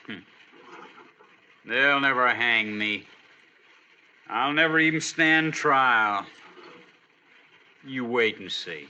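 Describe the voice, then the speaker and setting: An actor playing a defiant, menacing, cocksure outlaw prisoner in an old-time radio western. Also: male voice, mid-range voice, expressive, slow pace, long pauses, bright, forceful tone, full character voice. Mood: taunting, cocky. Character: defiant, taunting handcuffed male outlaw prisoner. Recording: old radio recording, faint hiss, muffled.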